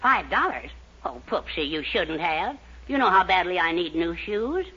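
A man's voice: high voice, very animated, normal speed, sharp tone, husky, heavily acted. An actor playing a meek, fussy, blustering middle-aged husband and father in a 1940s radio comedy. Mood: fretful. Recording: muffled, hissy 1940s radio recording.

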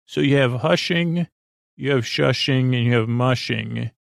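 Male bedtime podcast host: creaky, mid-pitched, drowsy and meandering, soothing delivery. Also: a little slow, no room sound, clean studio sound. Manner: meandering, hesitant, stop-start; soothing, sleepy. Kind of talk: rambling bedtime podcast monologue.

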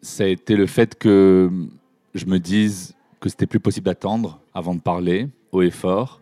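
Low-voiced man from Morocco. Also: steady tone, normal speed, warm tone, no room sound. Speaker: podcast guest. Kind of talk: personal account in a live interview. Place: live public recording before an audience.

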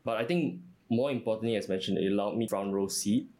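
Male finance exec in his 30s, very low voice, steady delivery with fillers. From Singapore. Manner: measured, with frequent fillers like "you know" and "uh". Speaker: company CFO, guest. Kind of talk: interview answers.

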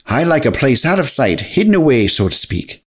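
A man's voice: mid-range voice, very animated, fairly quick, husky. A man voicing a proud, grumpy little wee man from a children's storybook, indignant and quaintly old-fashioned in his phrasing. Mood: bargaining.

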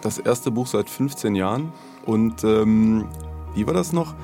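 A man co-hosting a podcast in casual chat, joking in a deadpan way. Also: on the low side, unhurried, vocal fry, soft background music. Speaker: podcast co-host.

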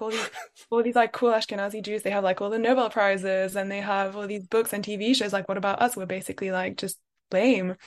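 Thoughtful young woman. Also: mid-range voice. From France, raised in London. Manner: thoughtful, many "like" and "um" fillers. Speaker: guest. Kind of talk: reflective interview talk.

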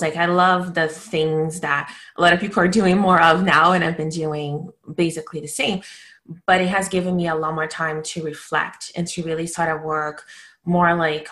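Casting: female interview guest from Brazil, 30s, native Portuguese, low register, candid conversational storyteller. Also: fairly bright tone. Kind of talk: casual personal storytelling.

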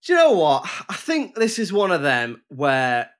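A man's voice: fairly high pitch, wildly swooping pitch, measured pace, fairly bright tone. A man co-hosting a film podcast, explaining his pick in a loose, rambling way with frequent restarts. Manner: rambling, hesitant with many restarts. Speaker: podcast co-host. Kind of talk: casual chat.

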